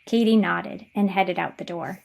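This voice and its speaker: A woman's voice: on the low side, moderate pace, vocal fry, a little hiss or hum, rough recording. A woman narrator reading scripted linking narration for a drama.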